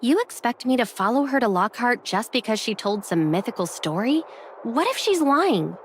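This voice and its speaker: A woman's voice: a little high, big pitch swings, moderate pace, slight background noise. A woman voicing a skeptical young protagonist in an anime game, guarded and questioning in manner. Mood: doubtful.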